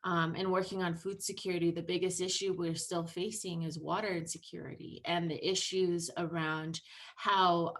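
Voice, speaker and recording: A woman in her thirties speaks earnestly through a laptop mic on a video call. Her voice sits a little low, and she talks loosely, with plenty of ums and restarts. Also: fairly bright tone. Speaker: presenter. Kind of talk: online presentation talk.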